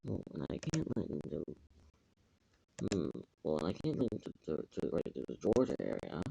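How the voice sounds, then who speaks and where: A man talking casually to himself and viewers in a halting, repetitive, hesitant way. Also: low voice, big pitch swings, vocal fry, mellow, rough recording. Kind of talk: casual muttering to self and viewers. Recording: faint background noise, clicking.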